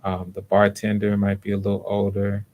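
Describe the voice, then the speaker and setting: A man speaking casually as host and casting director of a livestreamed audition, in a low-key, halting way with repeated words. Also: completely flat delivery, darkish tone.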